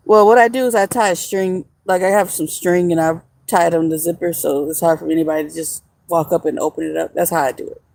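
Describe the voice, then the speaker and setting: A woman's voice: on the low side, steady tone, quick pace, gravelly, hardly any pauses, darkish tone, dry recording. A woman in her mid-thirties chatting casually on a livestream from outdoors in the woods, laughing often and teasing her viewers. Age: 30s. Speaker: vlogger.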